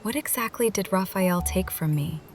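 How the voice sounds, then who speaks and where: A woman's voice: medium pitch, animated, moderate pace, faint music behind. A woman reading a scripted first-person game story, moving between narration and dialogue. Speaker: voice-over reader of a game story.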